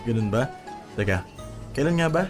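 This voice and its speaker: An actor playing an affectionate, teasing, easygoing young boyfriend in a radio drama. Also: male voice, medium pitch, expressive, normal speed, lightly acted. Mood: teasing, fond. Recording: music behind the voice.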